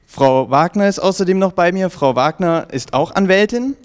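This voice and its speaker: A man's voice: high voice, expressive, normal speed, clear, resonant tone. A young man presenting and asking prepared interview questions as a TV host into a handheld microphone. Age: young adult. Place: small indoor information room with bookshelves. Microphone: handheld reporter microphone.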